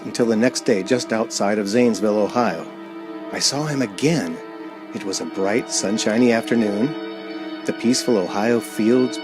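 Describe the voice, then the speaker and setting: A man's voice: lowish voice, close to an everyday voice. An actor playing an uneasy man driving alone, narrating a radio play in a hesitant, dramatic way. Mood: haunted, brooding.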